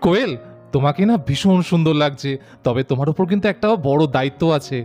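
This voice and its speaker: A performer voicing a sweet-talking young fiancé in a doll cartoon, teasing and affectionate. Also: male voice, on the high side, lively intonation, fast talker, a little mellow, faint music behind, close to an everyday voice.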